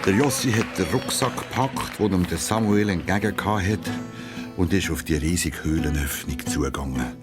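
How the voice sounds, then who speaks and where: Deep guy narrating a kids story, guitar music loud underneath. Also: normal speed.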